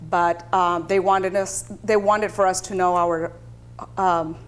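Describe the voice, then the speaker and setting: A woman from South Texas, a native Spanish speaker and bilingual teacher, recalling her life as an interview guest in a measured, deliberate way. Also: on the low side, steady tone, a bit bright, clear, resonant tone. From South Texas, United States. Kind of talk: personal recollection in an interview.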